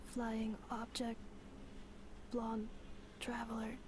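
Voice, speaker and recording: A woman voicing a literal, emotionless female robot in a video game, speaking in deadpan clipped fragments. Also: a little high, extremely slow. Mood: flat, mechanical. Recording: noisy background.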